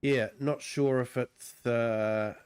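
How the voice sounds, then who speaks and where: A man with a New Zealand accent and a croaky voice from a cold, giving easygoing livestream commentary on a farming game, full of ums and uhs. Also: medium pitch, steady tone, takes it slowly, a little mellow. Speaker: streamer. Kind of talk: relaxed livestream gameplay commentary.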